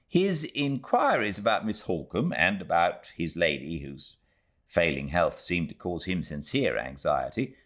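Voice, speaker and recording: A prim, sanctimonious middle-aged housekeeper read by a British man gives her account in an audiobook. The voice is deep, with very animated intonation and formal, proper delivery. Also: unhurried, exaggerated voice. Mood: prim, earnest. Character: prim, self-righteous middle-aged widowed housekeeper narrating her testimony. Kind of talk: classic novel audiobook narration in character.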